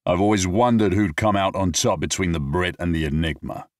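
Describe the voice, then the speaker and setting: A man's voice: low-pitched, fairly quick, dry recording, pitched above the performer's own voice, natural voice. A man voicing a tired male friend who talks in a dry, mildly mocking way.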